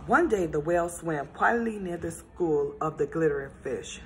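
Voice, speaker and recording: A woman reading a children's storybook aloud in a gentle, expressive storytelling manner. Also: sits low, moderate pace, slight background noise, low-quality recording.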